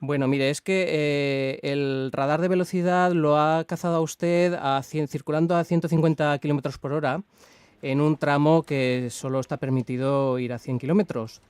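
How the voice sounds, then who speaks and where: A man's voice: a little high, fairly even intonation, normal speed, a little mellow, clear, resonant tone, no echo, close to an everyday voice. A man playing a polite, apologetic traffic official on a prank call, with an accent from Spain and a hesitant, formal manner. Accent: Spanish from Spain. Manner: hesitant, courteous, official-sounding. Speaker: radio prank caller. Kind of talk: improvised prank phone call in a formal register.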